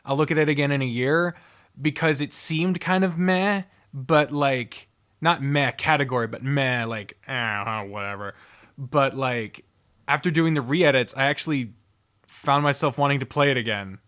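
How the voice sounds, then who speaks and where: A man's voice: medium pitch, moderate pace, a bit bright, smooth. A man giving casual, rambling ranking commentary, thinking aloud and swearing freely in an informal way. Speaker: host.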